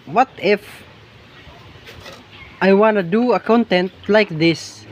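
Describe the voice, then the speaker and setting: A man from the Philippines with a Hiligaynon-flavored Filipino accent and Hiligaynon as his first language, narrating over nature footage in English, halting and repeating words as he hunts for the right phrase. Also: high-pitched, a little hiss or hum. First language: Ilonggo (Hiligaynon). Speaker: vlogger filming larvae in a field.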